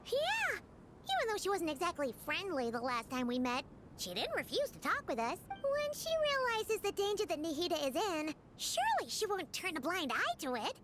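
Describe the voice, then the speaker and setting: Casting: pint-sized game mascot fairy, extremely high squeaky voice, lively swoops, eager and childlike. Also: female voice, normal speed, over music, heavily acted. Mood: eager, hopeful. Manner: refers to self in third person, exclaiming. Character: small chirpy childlike fairy companion, eager and excitable. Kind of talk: anime-style video game voice acting.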